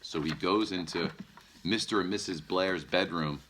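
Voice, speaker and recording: Male storytime reader: low, forceful, lively, playful, speaking straight to children. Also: normal speed, slight background noise. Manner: playful, addresses young listeners directly. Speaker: news anchor reading a children's story. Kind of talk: animated storytime read-aloud with asides to children.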